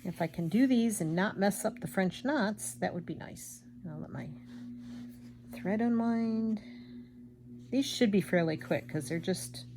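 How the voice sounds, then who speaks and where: An older woman chatting casually and rambling as she embroiders at home, self-deprecating, with a loud projecting voice. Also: mid-range voice, unhurried, noisy background. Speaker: craft vlogger. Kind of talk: casual chat while embroidering.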